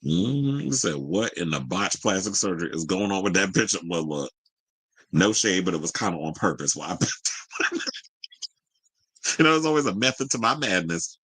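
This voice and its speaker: A man's voice: low voice, lively intonation, moderate pace, pauses often, fairly bright tone, husky, no room sound, rough recording. A man hosting casual gossip commentary, chatty and candid, with a sassy, colloquial way of talking to his audience. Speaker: host of a commentary show.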